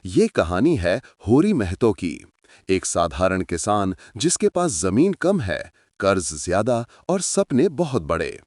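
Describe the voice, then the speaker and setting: A man's voice: fairly low pitch, big pitch swings, takes it slowly, a little mellow, dry recording, clean studio sound. A man narrating a story in a dramatic scripted style, speaking to his listeners as friends.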